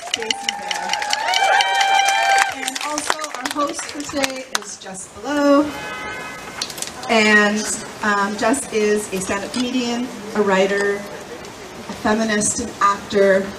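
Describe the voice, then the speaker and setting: A young woman organizer giving an earnest public speech at an outdoor rally, halting now and then with fillers and restarts, heard through a handheld mic and speakers. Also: medium pitch. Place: outdoor city square at a rally. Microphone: handheld mic through speakers, filmed from far off. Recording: echoey PA sound from far off, loud crowd noise.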